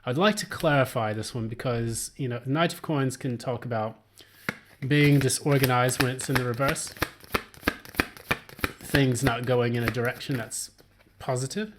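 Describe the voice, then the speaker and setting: Hoarse man giving a tarot reading. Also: mid-range voice, normal speed, very noisy. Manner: rambling, many filler words and restarts. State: hoarse, voice still recovering. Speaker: tarot reader. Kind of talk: casual solo tarot reading.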